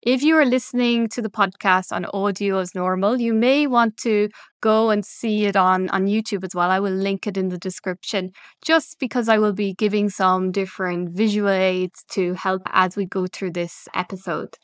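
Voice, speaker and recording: A woman's voice: medium pitch, fairly even intonation, normal speed, clean tone, dry recording, clean studio sound. A woman from Ireland, an English teacher hosting her podcast in an upbeat, encouraging, conversational way. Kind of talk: casual solo podcast chat.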